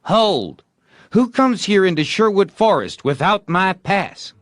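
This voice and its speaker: A man voicing an excitable, bossy young boy in a storybook, talking theatrically and in folksy dialect as he plays a bold outlaw. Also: sits high, slow, no room sound, clean studio sound, voice pushed up, heavily acted. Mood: bold, grandiose. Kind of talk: acted storybook dialogue.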